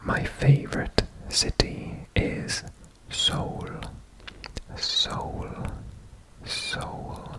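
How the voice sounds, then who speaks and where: Very slow whispered ASMR voice from Wales. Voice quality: breathy, unvoiced whisper. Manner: slow, deliberate, repeats words. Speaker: ASMR host presenting a personal guessing quiz.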